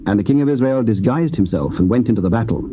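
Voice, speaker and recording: A man's voice: on the low side, animated, fast. A man narrating scripture as formal, dramatic storytelling. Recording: muffled, noisy old cassette.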